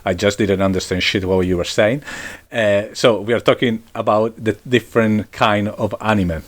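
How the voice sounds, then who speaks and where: Low-voiced Italian-accented dad. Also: male voice, steady tone, moderate pace. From Italy. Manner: laughs often, blunt and chatty. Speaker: podcast co-host, father. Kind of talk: casual chat.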